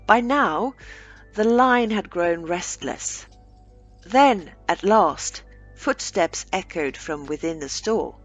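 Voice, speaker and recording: A woman narrating a scripted short story aloud, with deliberate phrasing and dramatic pauses. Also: fairly low pitch, expressive, soft background music.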